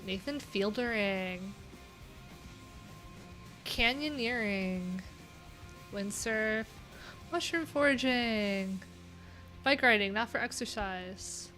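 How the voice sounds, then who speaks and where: A woman hosts a relaxed livestream, reading a list of viewer answers and breaking off into rambling, chatty asides full of fillers. Her pitch sits in the ordinary middle range for a woman. Quiet pop punk plays faintly behind her. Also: slow pace, pauses often. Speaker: livestream host. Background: pop punk music playing.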